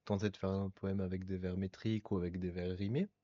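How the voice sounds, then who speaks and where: Podcast guest, male, low voice, ornate literary diction, muffled recording. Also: flat delivery, fast, dark tone. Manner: elaborate, literary phrasing. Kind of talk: casual conversation.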